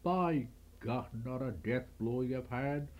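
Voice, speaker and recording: A man's voice: mid-range voice, takes it slowly, lo-fi sound, lightly acted. An older man voicing a blunt, scolding old farmer in a recited tale.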